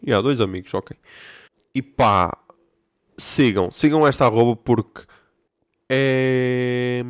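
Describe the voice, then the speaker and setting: A man's voice: mid-range voice, dry recording, studio quality. A young man with a European Portuguese accent hosting a casual solo podcast, ranting in a profane, slangy way. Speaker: podcast host.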